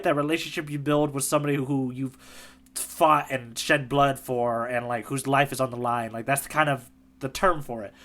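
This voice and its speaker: A man co-hosting a casual podcast chat, animated and quick to laugh, with lots of fillers. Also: fairly high pitch. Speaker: podcast co-host, tour guide.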